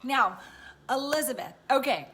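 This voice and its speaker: A woman with a raspy voice, chatting casually and encouragingly to viewers on a live stream from home. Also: sits high, animated, measured pace, fairly bright tone, slight background noise. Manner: chatty and encouraging, many fillers. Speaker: live-stream host and business coach.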